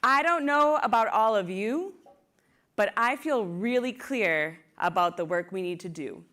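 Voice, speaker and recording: A woman's voice: medium pitch, lively intonation, a little slow, fairly bright tone. A woman hosts a live convention in a ballroom, hyping the crowd and reading out announcements in an upbeat way. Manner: upbeat, hypes the crowd, laughs easily. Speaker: convention organizer and host. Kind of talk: live event hosting and announcements. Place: large ballroom.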